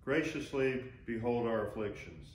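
An older man recites church responses formally. His voice has a middling male pitch and comes from a distance in a reverberant room, with a faint noise underneath. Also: normal speed. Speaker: assisting minister giving responses. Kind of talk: liturgical responses. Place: inside a church sanctuary by the altar.

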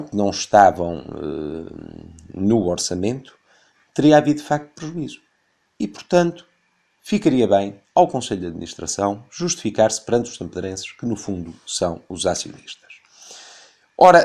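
A man in his thirties with a European Portuguese accent and a fairly low voice, reading a scripted radio opinion piece. He delivers long strings of figures slowly and carefully, and his tone is pointedly critical. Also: darkish tone. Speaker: radio commentator. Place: radio studio.

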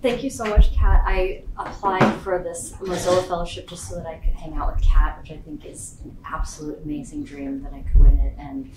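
A soft-spoken woman, the guest speaker, giving a talk with a quiet voice in a lecture hall. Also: on the low side, fairly even intonation, a little fast, few pauses. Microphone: far camera, no mic. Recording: very echoey hall from far off with hiss.

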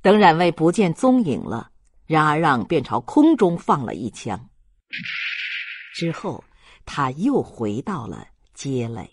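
Husky, expressive audiobook narrator. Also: medium pitch, dry recording. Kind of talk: scripted literary narration.

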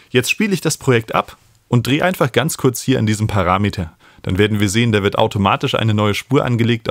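Guy in his 30s doing a music software tutorial, lowish voice, dry close studio mic. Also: dry recording. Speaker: music production instructor. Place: small home studio, indoors. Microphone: studio microphone up close.

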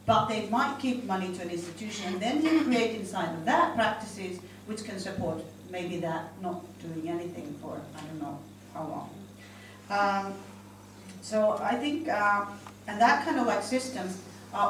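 A woman's voice: mid-range voice, moderate pace, recorded from a distance. Finnish-accented woman, middle-aged, informal panellist feeling her way through ideas, heavily reverberant room with faint noise. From Finland. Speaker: panel speaker, institution director. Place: indoor conference room. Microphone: handheld microphone.

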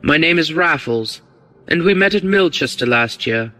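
A man reading the part of a cool, bold, quick-witted English gentleman rogue in an audiobook, breezy and commanding. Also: on the high side, lively intonation, soft background music, pitched above the performer's own voice, poor audio. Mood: polite, probing.

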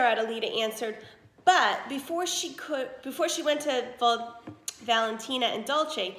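A woman reading a children's story aloud expressively, pausing to put questions to listeners and explain words. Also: a little high, fairly bright tone, roomy sound. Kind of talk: expressive read-aloud narration with asides to listeners.

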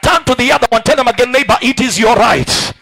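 High-voiced Kenyan preacher shouting. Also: male voice, animated, fast talker, vocal fry. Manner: preaching, rising to shouts, calls for amen. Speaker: pastor. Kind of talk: sermon. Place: large church hall indoors. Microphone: handheld microphone.

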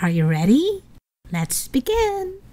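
A woman reading a picture book aloud to young children, expressively, with sing-song, deliberate phrasing. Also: high-pitched, wildly swooping pitch. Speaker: children's story reader.

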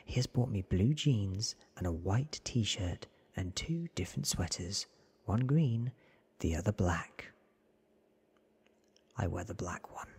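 A man in his thirties reading a story aloud in a hushed, deliberate ASMR whisper, close on the microphone. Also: lowish voice, expressive. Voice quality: breathy whisper. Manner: hushed, deliberate, short measured phrases. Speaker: narrator reading a novel aloud. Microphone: close studio microphone, seen.

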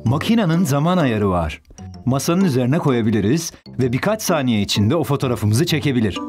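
A man's voice: mid-range voice, animated, brisk, music in the background, natural voice. A man voicing a cheerful, helpful father in a children's cartoon dub, upbeat and playful.

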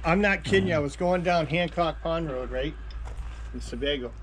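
A man's voice: a little high, normal speed. A man who chats in a joking, teasing way and tells stories while cars are worked on. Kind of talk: casual chat. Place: car workshop. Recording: loud workshop noise.

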